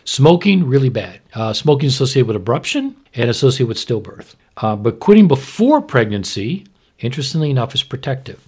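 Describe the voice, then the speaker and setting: A man's voice: mid-range voice, sing-song, a little fast, a little mellow, breathy edge. A man explaining medical matters in a conversational, plain-spoken way as a podcast host and expert. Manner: explanatory, plain-spoken, asks and answers own questions.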